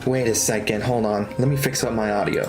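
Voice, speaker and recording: A woman's voice: a bass voice, steady tone, a little fast, over music. A woman livestreaming a game in casual, slangy, rambling chat with frequent exclamations. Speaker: livestream host, gamer.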